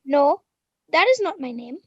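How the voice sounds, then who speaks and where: A woman's voice: sits high, very animated, a little slow, soft background music, full character voice. A woman playing a small, earnest, guarded refugee child in a 1930s radio drama. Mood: earnest, terse. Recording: dull old radio recording.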